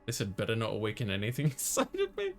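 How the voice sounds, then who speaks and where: A man's voice: fairly low pitch, wildly swooping pitch, quick pace, gravelly, soft background music. A man reading a visual novel aloud as a casual first-person narration for a playthrough.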